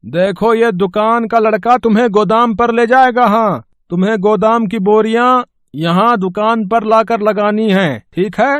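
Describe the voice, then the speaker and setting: A man voicing a brisk shopkeeper boss giving orders in a moral-story cartoon. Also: high voice, a bit bright, no room sound. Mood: bossy.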